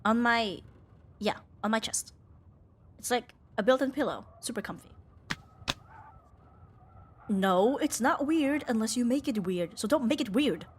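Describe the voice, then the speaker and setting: A woman's voice: on the high side, animated, measured pace, long pauses, a little hiss or hum. Woman playing a flustered, affectionate young tomboy roommate. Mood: coaxing, awkward. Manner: hesitant, teasing, breathy sighs and nervous laughs. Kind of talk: intimate ASMR romance roleplay.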